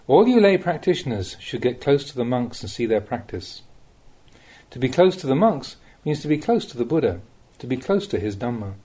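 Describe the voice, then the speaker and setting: A man reading a Buddhist Dhamma talk aloud as a monk, in the measured, plain-spoken way of a teacher, with rhetorical emphasis. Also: mid-range voice, big pitch swings, mellow, slightly rough.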